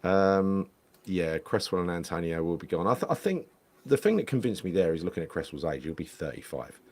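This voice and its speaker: Host, man in his 50s, lowish voice, loose rambling chat over a webcam. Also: animated, brisk, a little mellow. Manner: chatty, rambling, repeats phrases. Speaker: podcast host. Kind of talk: casual football chat reading live comments. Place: home room.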